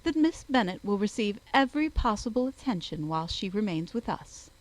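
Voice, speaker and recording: A woman's voice: medium pitch, very animated, fairly quick, lightly acted, poor audio. Woman playing coldly polite haughty lady. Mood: cold civility. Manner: cool, formal.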